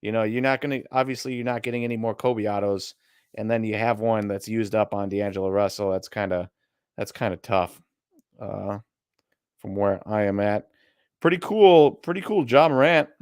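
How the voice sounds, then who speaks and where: A man's voice: fairly low pitch, normal speed, darkish tone, smooth, dry recording, studio quality. A man with a Midwestern American accent, co-hosting a casual live stream and thinking aloud as he browses listings. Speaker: co-host of a card auction stream.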